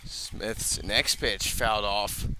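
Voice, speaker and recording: A man's voice: on the low side, fairly even intonation, slow pace, sharp tone, a little hiss or hum. A man calling a baseball game live on the radio as the play-by-play announcer. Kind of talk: live sports commentary. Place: outdoor ballpark broadcast position.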